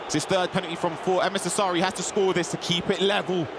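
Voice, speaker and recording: High-voiced energetic male co-caster. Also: fast talker, a crowd in the background, background noise. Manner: energetic, exclaiming. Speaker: esports co-caster. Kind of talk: sports commentary.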